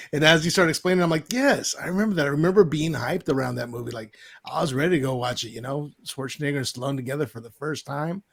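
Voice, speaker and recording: A man's voice: on the high side, fast, creaky. A man co-hosting a casual podcast, rambling and searching for words. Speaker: podcast co-host.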